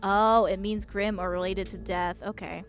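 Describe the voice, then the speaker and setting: A woman streaming a game with casual, reactive chat, excitable and giggly, stumbling over unfamiliar words. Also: mid-range voice, normal speed, faint music behind.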